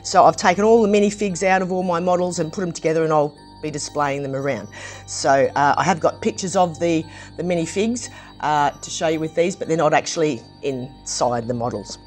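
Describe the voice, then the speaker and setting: Slightly hoarse woman. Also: low-pitched, a little mellow, faint music behind. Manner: chatty and unhurried, many ums and restarts. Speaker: brick set reviewer, channel host. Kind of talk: casual hands-on product review.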